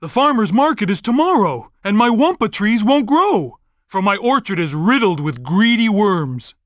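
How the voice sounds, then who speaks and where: A man's voice: sits high, very animated, moderate pace, no room sound, studio quality. A man voicing a worried, pleading cartoon bird farmer in a video game.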